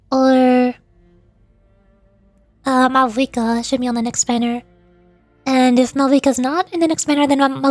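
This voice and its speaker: A woman with a high-pitched voice gives casual livestream commentary. She rambles along as she talks to viewers about the game. The sound is dry, with music underneath. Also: no echo. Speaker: VTuber game streamer.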